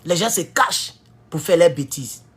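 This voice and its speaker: A man with an Ivorian French accent giving a heated political commentary, jumping from loud high outbursts to low mutters. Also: expressive, normal speed, husky. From Ivory Coast. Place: at home in California.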